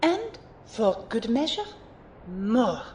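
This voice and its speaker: Woman acting as playful posh-mannered magic teacher lady, audio drama, real slow n precise, bit of noise behind her. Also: very animated, darkish tone. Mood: lecturing. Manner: formal, precise, teacherly phrasing. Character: refined, playful female magic mentor, teasing and lecturing. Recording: roomy amateur recording, faint rumbling noise.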